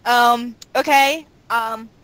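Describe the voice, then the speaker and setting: A woman's voice: on the high side, steady tone, extremely slow, fairly bright tone, dry recording, rough recording. A woman chatting casually in a group interview as a voice actor. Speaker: voice actor and series co-creator. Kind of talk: casual chat.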